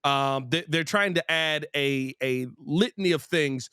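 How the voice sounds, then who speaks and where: A man's voice: on the high side, unhurried, pushed and bright, clear, resonant tone, no room sound, clean studio sound. An American man hosting a sports podcast, chatting casually and giving emphatic opinions with rhetorical questions.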